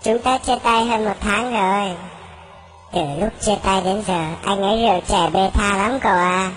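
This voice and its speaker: A woman performs comedic comic-strip dialogue in a voice of middling pitch. Her delivery is acted, like a joke dub. Music plays clearly behind her. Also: fairly even intonation, normal speed, low-quality recording. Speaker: voice actor dubbing comic strip characters. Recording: loud music under the voice.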